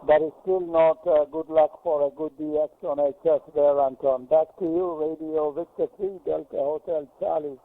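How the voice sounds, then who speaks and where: A man's voice: a little high, steady tone, normal speed, hardly any pauses. A middle-aged or older man chatting over a radio link as an amateur operator, rambling and hesitant, often repeating words. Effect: radio transmission. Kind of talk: casual radio contact chat. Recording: narrowband radio link with faint noise.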